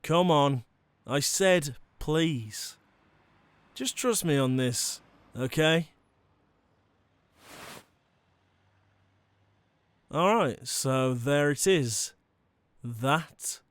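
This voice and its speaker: A man's voice: a little high, lively intonation, drawn-out words, a bit bright, smooth, no echo, heavily acted. A man playing an enigmatic former vampire turned hunter in an audio roleplay, speaking in a coolly conversational, teasing way. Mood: coaxing, cool. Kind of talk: ASMR audio roleplay drama. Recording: music bed behind the voice.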